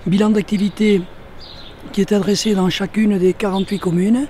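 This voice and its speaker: A man giving formal, measured interview remarks as a local elected official. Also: high voice, little pitch movement, dark tone, slight background noise.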